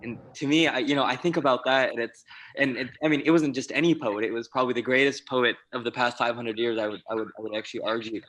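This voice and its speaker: A man's voice: medium pitch, fairly even intonation, quick pace, a bit bright, low-quality recording. An American man speaking as a panel guest, earnest and lecturing, often repeating his words. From United States. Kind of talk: earnest discussion.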